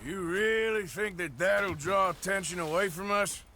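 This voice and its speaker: An actor playing a weary, protective middle-aged outlaw in a video game, grave and plain-spoken, with an American Western drawl. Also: male voice, sits high, unhurried, sharp tone, slight background noise, heavily acted. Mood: wry. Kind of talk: dramatic video game acting.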